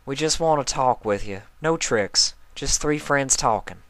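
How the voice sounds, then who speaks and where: A man's voice: medium pitch, lively intonation, a little slow, a bit bright, no echo, pitched above the performer's own voice. A man voicing a frank, reassuring female farm pony from a cartoon, with folksy country phrasing. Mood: reassuring, firm.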